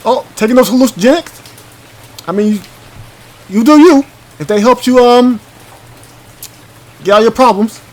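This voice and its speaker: A man hosting a gaming video, thinking aloud in an informal, halting way as he comments and reads from the screen. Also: extremely high pitch, darkish tone, rough recording. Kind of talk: casual let's play commentary, reading on-screen text aloud.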